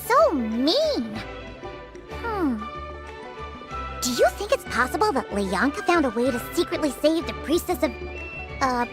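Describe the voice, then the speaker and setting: A tiny, excitable companion creature for a video game, girlish and cheeky. The voice is extremely high and very animated, full of chatty exclamations, with faint music underneath. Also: a little slow, pauses often.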